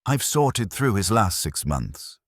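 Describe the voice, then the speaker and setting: A man's voice: fairly low pitch, big pitch swings, slow pace, no room sound, studio quality, pitched above the performer's own voice, exaggerated voice. A man voicing a prim, efficient female records clerk in a detective audiobook, speaking precisely and formally. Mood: crisp, businesslike. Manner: precise, formal, without preamble. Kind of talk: audiobook detective story dialogue.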